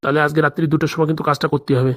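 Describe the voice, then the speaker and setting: A woman's voice: low-pitched, level tone, racing, lightly acted. A woman voicing a crooked, bossy male village boss in a comedy cartoon, scheming aloud with a rural Bengali accent.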